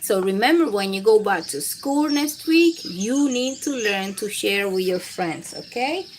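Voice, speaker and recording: A woman's voice: medium pitch, animated, measured pace, a little hiss or hum, rough recording. A woman hosting a storytime, reading aloud to young children in simple phrasing and putting questions to her listeners. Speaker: children's storytime host and teacher.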